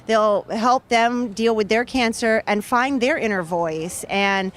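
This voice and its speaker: Earnest author lady in her 30s, kinda high voice, talking at an outdoor charity race. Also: slow. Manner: earnest, heartfelt and fluent. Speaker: author and guest. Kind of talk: interview at an outdoor event.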